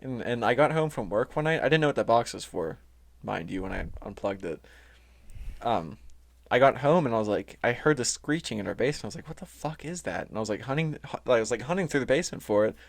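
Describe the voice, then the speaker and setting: A man's voice: medium pitch, expressive, quick pace, gravelly. A young man co-hosting a podcast in casual chat, with a laid-back, slangy way of talking and some swearing. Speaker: podcast co-host.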